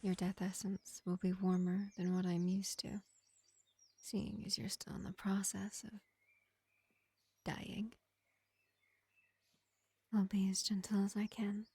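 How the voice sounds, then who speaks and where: Woman voicing a soothing female vampire, fantasy roleplay, slow measured delivery, half-whispered, gentle, close dry recording. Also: medium pitch, completely flat delivery, slightly rough, dry recording, heavily acted. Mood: calm, gentle. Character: gentle pacifist female vampire, ancient, calm and curious. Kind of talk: slow intimate ASMR-style fantasy roleplay.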